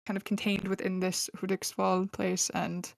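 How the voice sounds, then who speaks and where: A woman's voice: mid-range voice, completely flat delivery, moderate pace, gravelly, no echo. A young woman hosting a true crime podcast, telling the case in a chatty, laughing way with lots of fillers, on a close mic. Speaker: podcast host. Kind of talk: casual true crime storytelling.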